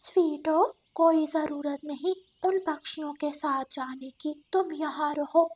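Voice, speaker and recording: A woman voicing a cunning female fox who coaxes with false friendliness in an animated fable. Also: high-pitched, measured pace, voice pushed up. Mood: coaxing.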